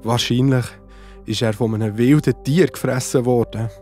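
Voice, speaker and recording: A man's voice: medium pitch, steady tone, moderate pace, a little mellow, soft background music, natural voice. A man playing a brother who brings bad news in a children's Bible audio drama. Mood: grave. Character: brother of Josef reporting a supposed death.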